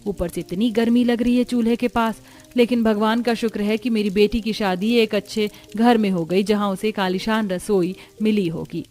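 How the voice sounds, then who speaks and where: A woman's voice: mid-range voice, fairly even intonation, moderate pace, darkish tone, close to an everyday voice. A woman voicing a humble middle-aged village mother, weary yet thankful, in a cartoon family story.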